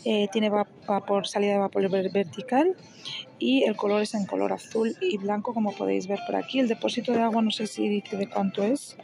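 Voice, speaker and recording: A woman's voice: mid-range voice, fairly even intonation, brisk, vocal fry, music in the background. A young woman from chatting casually through a shopping haul, often checking in with her viewers and correcting herself as she goes. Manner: chatty, self-correcting, frequent "¿vale?" check-ins. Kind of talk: casual product walkthrough.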